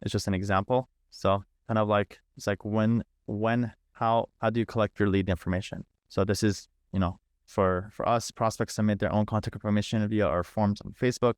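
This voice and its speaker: A man's voice: on the low side, steady tone, fairly quick, hardly any pauses, clean tone, no echo, studio quality. A man leading a live online training, talking informally through setup steps, repeating himself and thinking aloud. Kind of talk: casual live screen-share walkthrough. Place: live online video call.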